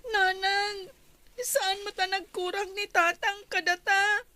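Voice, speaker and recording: An actress playing an earnest, pleading young student daughter in a radio drama, respectful but plaintive. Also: female voice, very high voice, little pitch movement, slow pace, sharp tone, exaggerated voice. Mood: pleading, concerned.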